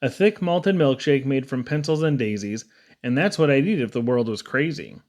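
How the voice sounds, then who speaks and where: A man's voice: mid-range voice, expressive, normal speed, darkish tone. A man reading humorous children's poems aloud in a theatrical, playful storytelling manner. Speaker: poetry reader.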